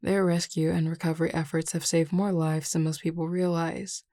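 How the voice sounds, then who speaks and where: A woman playing a confident, reassuring werewolf pack beta in a scripted audio roleplay, speaking in a measured, explanatory way. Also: low-pitched, flat delivery, warm tone, dry recording, clean studio sound. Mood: composed, explanatory.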